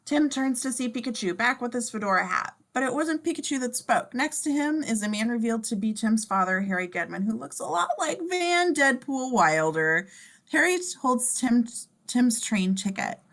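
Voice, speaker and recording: A woman's voice: fairly high pitch, animated, normal speed, fairly bright tone. A woman narrating scene descriptions from a script at a table reading. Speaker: stage directions narrator.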